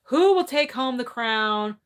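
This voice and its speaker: A woman's voice: fairly high pitch, animated, measured pace, smooth. Chatty woman in her thirties. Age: 30s. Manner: chatty, upbeat, thinks aloud. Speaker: movie channel host. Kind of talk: casual live-stream Q&A, reading viewer comments. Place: her room at home.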